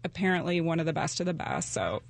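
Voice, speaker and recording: A woman's voice: sits low, expressive, fast talker. A middle-aged woman talking about her novel as a guest in a casual interview, chatty and full of fillers. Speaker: guest author.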